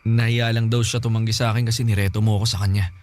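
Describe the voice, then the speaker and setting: A man's voice: lowish voice, level tone, rapid-fire, a little mellow, soft background music, close to an everyday voice. An actor playing an earnest, pleading young Filipino man in love with his best friend in a radio drama. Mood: soft, reassuring. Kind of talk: emotional radio drama acting.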